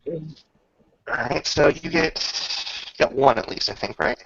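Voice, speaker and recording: Gravelly, bright-edged man running a tabletop game. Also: a little high, lively intonation, unhurried, highs cut off. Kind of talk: improvised narration and game refereeing in casual talk.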